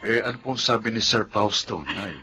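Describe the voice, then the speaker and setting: An actor playing a caring, respectful young adult son in a Filipino radio drama. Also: male voice, fairly low pitch, moderate pace, music in the background, lightly acted. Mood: concerned.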